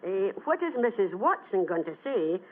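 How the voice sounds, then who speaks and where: A woman's voice: mid-range voice, sing-song, moderate pace. An actress playing a motherly, teasing landlady in a radio drama. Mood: fond, teasing. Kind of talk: classic radio drama acting. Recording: muffled lo-fi vintage-style radio recording.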